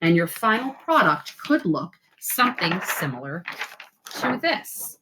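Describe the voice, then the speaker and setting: A woman reading aloud as a teacher to schoolchildren, with expressive, deliberate phrasing. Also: fairly low pitch, background noise. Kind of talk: read-aloud storytelling and simple instructions for children.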